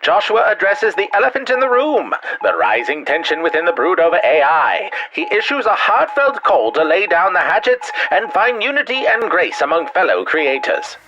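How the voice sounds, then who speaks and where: Lively man narrating, noisy lo-fi sound. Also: sits high, moderate pace, hardly any pauses. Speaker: episode summary narrator. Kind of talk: scripted narration.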